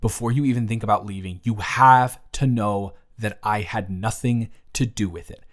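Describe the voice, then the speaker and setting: A man's voice: lowish voice, fairly even intonation, normal speed, close to an everyday voice. A man playing an earnest, easily flustered young man in love, in a conversational, emotive romantic audio roleplay addressed to the listener. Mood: tense, guarded. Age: young adult. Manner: conversational, emotive, swinging from hushed to exasperated.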